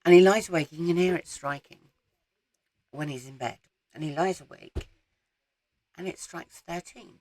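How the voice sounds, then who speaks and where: A woman, croaky from a head cold, chatting casually about her week's reading and rambling with frequent ums. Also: on the low side, normal speed, pauses often, darkish tone, rough recording. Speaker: book vlogger. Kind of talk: casual reading wrap-up chat. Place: small garden shed.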